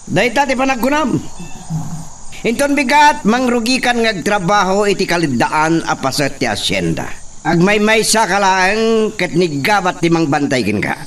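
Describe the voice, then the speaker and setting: An actor playing a cruel, domineering young hacienda master in an Ilocano radio drama, commanding and menacing. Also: male voice, sits high, animated, normal speed, a bit bright, a little hiss or hum. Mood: cold, threatening.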